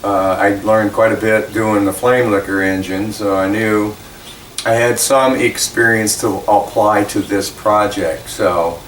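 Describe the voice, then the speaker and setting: A man's voice: fairly low pitch, steady tone, measured pace, fairly bright tone, a little hiss or hum, poor audio. A man in his fifties casually explaining his machining project in a home workshop, rambling with many ums and restarts. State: recovering voice after flu. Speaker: hobby machinist.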